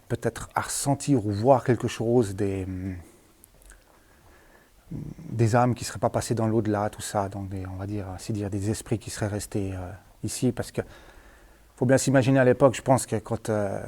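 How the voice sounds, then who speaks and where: Man, lowish pitch, soft dark timbre, casual vlog storytelling, colloquial, hesitant, trailing tags. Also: fairly even intonation, normal speed. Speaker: vlogger, former tunnel worker. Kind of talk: casual rambling storytelling to camera. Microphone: handheld at arm's length.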